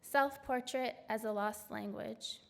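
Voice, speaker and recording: Woman poet, gravelly, in a reverberant hall. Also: a little high, fairly even intonation, fairly bright tone. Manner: hesitant, filler-laden asides between measured poem readings. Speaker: poet reading her work. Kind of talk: poetry reading with spoken introductions. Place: large auditorium stage.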